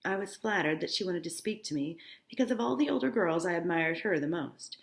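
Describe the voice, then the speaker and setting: A woman high school teacher reading a novel aloud, breaking off for informal, instructive asides to explain things to students. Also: animated, fairly quick, creaky, echoey room. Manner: informal, instructive asides with check-ins like "okay?".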